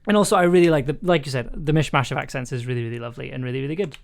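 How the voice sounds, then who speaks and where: Woman w a low voice, rambly casual stream chat, thinking out loud as she works. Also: rapid-fire, gravelly. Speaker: audio drama producer and streamer. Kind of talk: casual livestream chat while editing. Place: indoors at a computer, livestream.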